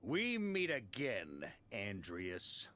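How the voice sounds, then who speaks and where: An actor playing a confident, easygoing seasoned knight commander in a video game, measured and resolute. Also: male voice, on the low side, huge pitch swings, a bit bright, long reverb tail, slight background noise, heavily acted. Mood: calm resolve.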